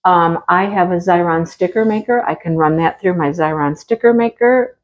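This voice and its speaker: A woman's voice: fairly low pitch, normal speed, muffled recording. A woman talks straight to her viewers in a homey, chatty way as she unboxes craft kits. Her voice is a little stuffy, and she has a slight cough from a cold. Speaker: crafting channel host. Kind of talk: casual unboxing chat.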